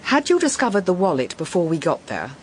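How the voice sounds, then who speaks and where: Probing English woman detective, animated. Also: fairly low pitch, fairly quick. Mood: probing, sceptical. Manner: methodical, questioning. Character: probing, sceptical female private detective. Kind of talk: British radio detective drama acting. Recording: clear background noise. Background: ambient noise.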